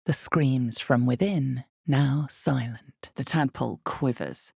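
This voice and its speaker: A woman voicing a grave, ominous fantasy game narrator, with a gravelly voice and a measured, dramatic delivery in scripted second person. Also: extremely low pitch, darkish tone, no room sound, clean studio sound, heavily acted. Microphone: close studio microphone, dry.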